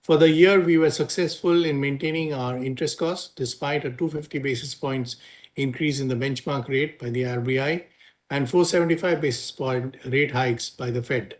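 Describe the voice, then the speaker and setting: A man with an Indian accent delivering prepared financial remarks as a company executive, formal and measured. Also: mid-range voice. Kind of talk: prepared earnings call remarks.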